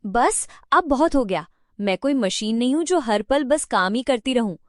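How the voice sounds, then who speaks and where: A woman's voice: a little high, animated, moderate pace, no room sound, studio quality, lightly acted. A woman voicing a hardworking young daughter-in-law in a cartoon story, firm and indignant. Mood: angry. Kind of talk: cartoon voice acting.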